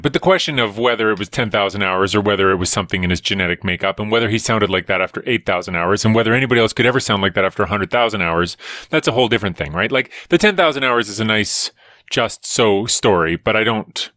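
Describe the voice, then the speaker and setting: A man who is a scientist guest on a podcast, talking in animated, rambling runs and restarting his sentences often. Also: fairly low pitch, fast talker, no room sound, clean studio sound. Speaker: guest, evolutionary biologist. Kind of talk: casual conversation.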